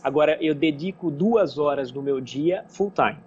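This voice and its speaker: A man's voice: fairly high pitch, moderate pace, darkish tone. Dull-sounding São man, agreeable student. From São Paulo, southeastern Brazil. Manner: agreeable, repeats himself. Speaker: English student. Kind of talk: casual conversation on a video call. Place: Skype call. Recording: dull online call, faint noise.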